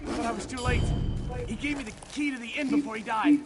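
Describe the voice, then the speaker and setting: A woman's voice: on the high side, fairly even intonation, fairly quick, natural voice. An actress playing a wry, self-mocking adventuring woman in a video game, with a theatrical, sardonic delivery. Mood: urgent. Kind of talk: scripted video game dialogue. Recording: loud music over the voice.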